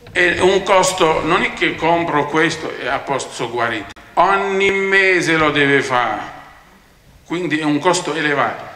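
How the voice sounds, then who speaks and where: A man's voice: fairly high pitch, bright, forceful tone, a little hiss or hum. A man, a doctor, giving an emphatic talk at a conference, gesturing and speaking into a handheld mic. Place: large conference hall, standing by a screen. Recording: echoey hall with faint ambience.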